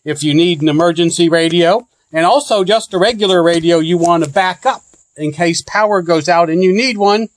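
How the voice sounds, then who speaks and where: Higher-pitched man reviewing a product. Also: moderate pace. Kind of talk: informal product review walkthrough.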